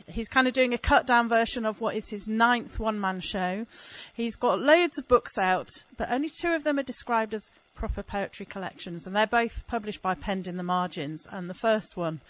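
A woman's voice: medium pitch, a bit bright, poor audio. A woman introducing a poet on stage at a festival, upbeat and enthusiastic.

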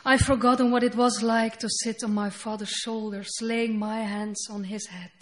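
A woman whose first language is Dutch, speaking English with a Dutch accent as she reads her poetry slowly and deliberately on a festival stage. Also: fairly high pitch, steady tone. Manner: slow, deliberate reading with halting English asides. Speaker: poet. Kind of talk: poetry reading. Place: festival stage before an audience.

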